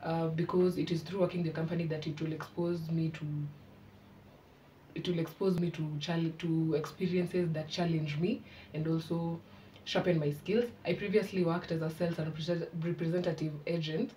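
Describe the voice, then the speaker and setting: A woman's voice: low voice, flat delivery, normal speed, warm tone, distant mic, a little hiss or hum, rough recording. A young woman from Kenya, a fresh graduate, earnestly introducing herself with a few ums in a self-recorded video. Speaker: job applicant. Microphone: phone at arm's length.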